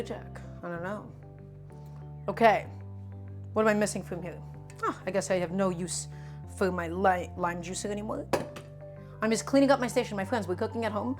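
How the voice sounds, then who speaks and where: A woman whose first language is Russian, casually demonstrating a recipe in her home kitchen on a live stream and chatting with viewers as she works. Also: mid-range voice. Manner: chatty, answers viewer chat while cooking. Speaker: cooking streamer.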